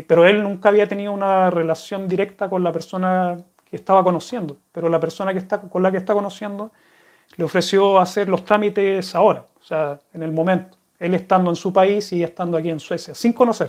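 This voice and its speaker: A man with a Chilean accent, chatting casually to viewers and answering their questions in a rambling, friendly way. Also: high-pitched. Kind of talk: casual chat to camera.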